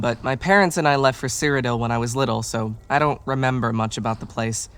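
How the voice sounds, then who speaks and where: A man's voice: medium pitch, a bit bright, natural voice. A man voicing a laid-back, self-deprecating orc writer and reluctant adventurer in a video game, with a wry, hesitant, understated delivery. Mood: reminiscing. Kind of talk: casual video game companion dialogue. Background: music behind the voice.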